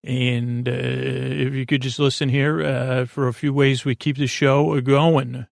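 Creaky voiced guy doing a rambly sleep podcast, kinda lulling, halting, wanders off on tangents, super dry close sound. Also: medium pitch, level tone, no echo. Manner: meandering, halting, with tangents and trailing thoughts; soothing, lulling. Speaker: podcast host. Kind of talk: rambling bedtime podcast monologue.

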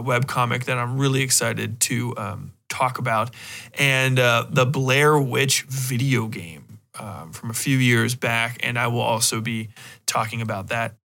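A man hosting a podcast in a casual, rambling monologue, animated and swearing freely. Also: medium pitch. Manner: rambling, animated, heavily profane. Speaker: podcast host.

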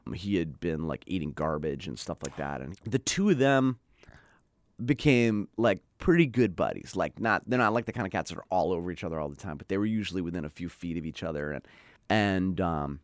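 Chatty low-voiced man telling a story. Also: lively intonation, brisk, no echo, studio quality. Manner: chatty, lots of "like", acts out quoted voices. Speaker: guest. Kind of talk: casual storytelling in an interview.

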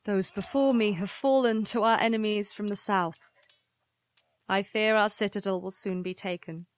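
A grave, dutiful historian of a besieged nation, voiced by a woman sets down her account in a mid-pitched voice. Her delivery is formal and eloquent, like a scripted chronicle in an audio drama. The sound is dry, without echo. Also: steady tone, moderate pace, clean tone, close to an everyday voice. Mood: solemn, foreboding.

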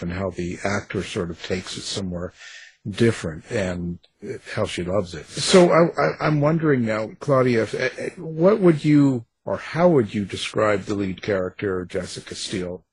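Male interviewer, lowish voice, relaxed chatty questioning, slight background noise. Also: moderate pace, poor audio. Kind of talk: casual interview chat.

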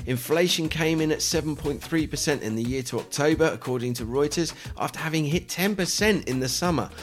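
A man's voice: a little high, brisk, faint music behind. A man co-hosting a podcast, talking through the news. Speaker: podcast co-host. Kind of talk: casual news discussion.

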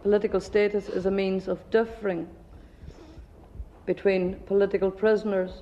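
A woman explains something slowly and deliberately to a workshop audience. Her pitch stays flat. The sound is dull and rings with long hall reverb. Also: slight background noise. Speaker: speaker at a workshop. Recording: dull, echoey hall recording.

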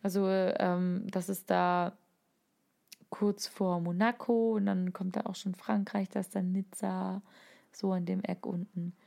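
A woman's voice: medium pitch, level tone, measured pace, vocal fry, mellow. A woman telling anecdotes in a casual, enthusiastic, playful way, using slangy words as a podcast co-host.

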